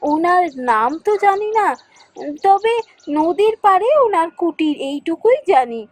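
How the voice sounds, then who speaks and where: A woman's voice: very high-pitched, lively intonation, measured pace, dry recording. A woman voicing a kind, worried young village girl in a children's fairy tale cartoon. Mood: concerned, helpful.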